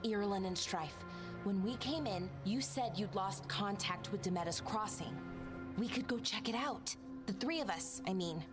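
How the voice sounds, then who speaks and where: A woman's voice: mid-range voice, moderate pace, lightly acted. An actress playing an earnest, plainspoken young woman scout who fixes magical artifacts in a video game. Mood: determined. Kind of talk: video game cutscene acting. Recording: game music behind.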